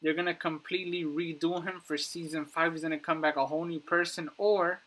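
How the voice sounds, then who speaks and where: A man's voice: fairly high pitch, level tone, a little fast, fairly bright tone. A man giving casual, emphatic commentary on video game lore, addressing his viewers directly. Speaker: YouTube gaming content creator.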